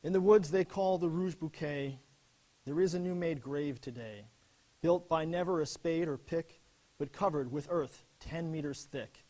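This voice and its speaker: A man playing an earnest First World War army officer, giving a solemn, measured dramatic reading of letters and verse. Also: on the high side, darkish tone, close to an everyday voice. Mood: solemn, elegiac.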